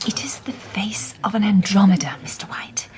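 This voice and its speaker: An actress playing a poised, shrewd Victorian lady detective in a radio drama, speaking softly and breathily, half in a whisper. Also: medium pitch, normal speed, lightly acted. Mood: measured, knowing.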